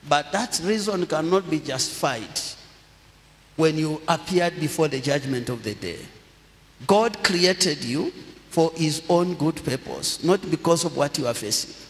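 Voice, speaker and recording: A middle-aged pastor speaks with a slightly raised voice and a measured, unhurried delivery, prompting his congregation to repeat after him. He preaches into a handheld microphone, and his words ring out with a long reverb through a large church hall. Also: fairly high pitch. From Zambia. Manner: slow, deliberate preaching with call-and-response prompts. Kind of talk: sermon.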